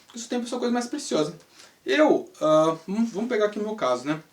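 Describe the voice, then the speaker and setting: A man's voice: sits high, animated, quick pace. A man in his thirties from Brazil with a Brazilian Portuguese accent, hosting a casual monologue that rambles with rhetorical questions and tag questions. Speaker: channel host, English teacher. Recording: roomy sound, mic at a distance.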